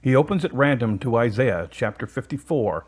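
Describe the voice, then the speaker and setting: A man's voice: mid-range voice, lively intonation, moderate pace. A man narrating a scripted story as the narrator of an audio drama.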